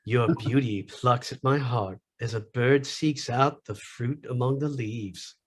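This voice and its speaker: A man's voice: medium pitch, measured pace, slightly rough. A man reading a part theatrically at a table read of a fantasy script.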